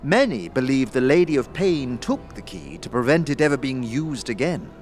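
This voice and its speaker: A man voicing a mischievous, worldly fixer in an audio drama, telling old lore in a wry, measured way. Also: mid-range voice, animated, darkish tone, soft background music, pitched above the performer's own voice, close to an everyday voice. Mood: confiding. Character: Sebastian, mischievous worldly male fixer, knowing lore-teller.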